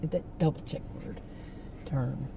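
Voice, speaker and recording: A middle-aged woman, hoarse from losing her voice, rambling and venting in a casual vlog from a parked car. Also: a bass voice, takes it slowly, dark tone, slight background noise. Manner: rambling, venting, with many restarts. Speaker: vlogger.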